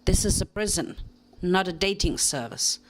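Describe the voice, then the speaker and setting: A woman doing a gruff, grumbling stallion advisor. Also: animated, natural voice, poor audio. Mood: stern. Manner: curt, grumbling, slow and lowered. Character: gruff stern male pony stallion, a government advisor.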